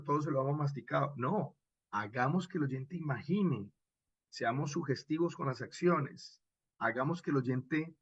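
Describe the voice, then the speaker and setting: A man with a Colombian accent and a husky voice, lecturing expressively as a radio drama instructor and checking in with short rhetorical questions. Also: a little high, dry recording, muffled recording. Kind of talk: animated workshop lecture with acted examples.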